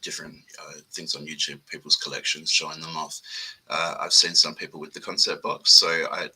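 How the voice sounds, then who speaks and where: A man with an Australian accent and a very deep, low voice, talking about his sneakers in a relaxed, laid-back way on a livestream call. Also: fairly quick, husky, low-quality recording. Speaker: sneaker collector guest. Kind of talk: casual livestream chat.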